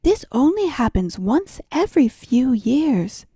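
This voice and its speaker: A woman's voice: sits high, very animated, unhurried, dark tone, breathy edge, no echo, full character voice. A woman voicing a sly, greedy female cat in a storybook, with a smug, coaxing manner.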